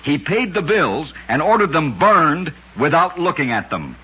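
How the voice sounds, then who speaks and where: A man narrating scripted strange tales for an old-time radio show, with deliberate, dramatic storytelling delivery. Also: a little high, very animated, noisy background. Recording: dull old radio recording.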